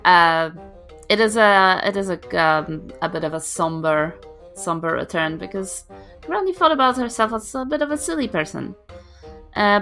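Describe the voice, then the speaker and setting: A woman with plenty of creak in her voice describes her game character in the third person. She improvises haltingly, thinking aloud as she goes, with faint music underneath. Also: medium pitch, pushed and bright. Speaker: tabletop podcast player.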